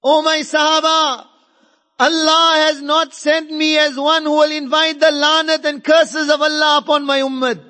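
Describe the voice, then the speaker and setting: Male preacher, very high bright voice, fervent and emphatic Islamic sermon delivery with insistent repetition, long hall reverb. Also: flat delivery, moderate pace. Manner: fervent, emphatic, repeats phrases for effect; mixes Arabic and Urdu phrases into English.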